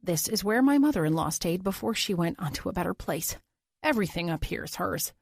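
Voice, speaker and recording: A woman's voice: lowish voice, expressive, fairly quick, gravelly, husky, no echo, clean studio sound, exaggerated voice. A woman voicing a courteous, evasive male homeowner under suspicion in an audiobook. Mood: guardedly polite. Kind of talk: audiobook character dialogue.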